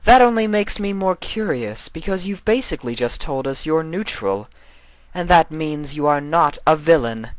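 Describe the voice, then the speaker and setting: Man voicing a stern, haughty dark mare. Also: on the high side, animated, moderate pace, dry recording, voice pushed up, lightly acted. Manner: dry, sardonic, imperious. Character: stern, haughty female dark alicorn villain. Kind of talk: fan fiction character reading.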